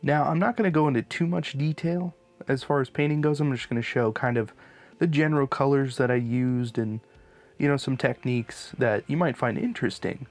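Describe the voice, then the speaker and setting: A man's voice: medium pitch, fairly quick, darkish tone. A man hosting a hobby channel, giving a casual, informal voice-over tutorial in a laid-back manner. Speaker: host of a miniature hobby channel.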